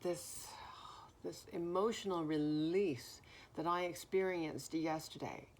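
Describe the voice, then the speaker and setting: A woman giving a spiritual message in a gentle, soothing way, with a naturally deep voice that is somewhat hoarse. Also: fairly even intonation, takes it slowly. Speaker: spiritual card reader. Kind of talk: spiritual message, slow and reflective.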